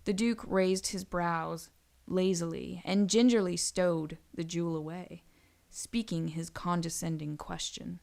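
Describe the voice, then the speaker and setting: A woman's voice: lowish voice, fairly even intonation, measured pace, vocal fry, darkish tone. A woman narrating a scripted audio story.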